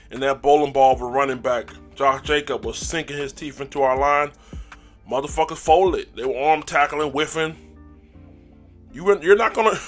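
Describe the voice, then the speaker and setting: Hoarse male fan-show host, casual, hyped, clears throat often, mid-pitch. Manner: hype call-and-response, throat clearing. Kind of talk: casual sports fan recap.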